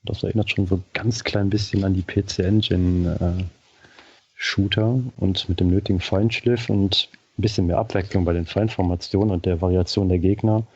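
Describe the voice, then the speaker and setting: Low, husky man, slightly muffled. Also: steady tone, normal speed, a little mellow, no room sound. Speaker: podcast co-host. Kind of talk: casual retro-gaming podcast talk.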